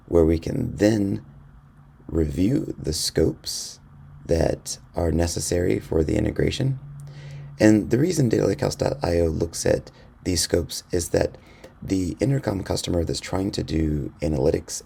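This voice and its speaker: A man narrating an instructional software screencast in a measured, step-by-step explanatory way. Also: low-pitched, vocal fry. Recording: voice-over with faint background noise.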